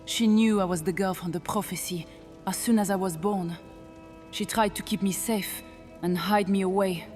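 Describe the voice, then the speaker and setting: A woman playing a gentle, sorrowful young witch who has lived as a boy, speaking in an earnest, measured, halting way. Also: mid-range voice, steady tone, faint music behind, natural voice. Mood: sorrowful confession.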